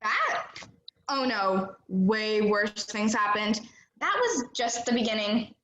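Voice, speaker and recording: A young woman in an amateur stage play, acting a melodramatic, chatty runaway high school senior who tells her story earnestly. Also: expressive, takes it slowly, a bit bright, highs cut off. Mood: indignant. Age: young adult. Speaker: student actor in a play. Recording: roomy, echoing sound.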